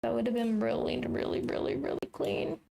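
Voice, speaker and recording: A young woman with a raspy voice, chatting casually and thinking aloud as she hosts a live trading stream. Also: on the high side, flat delivery, fairly quick, dark tone, slight background noise. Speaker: trading livestream host.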